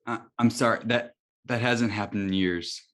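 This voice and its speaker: A man playing an anxious superhero newcomer, speaking haltingly in a scripted table read. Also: on the low side, fairly even intonation, lightly acted. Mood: apologetic. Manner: halting, unsure. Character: anxious, hesitant young male superhero newcomer.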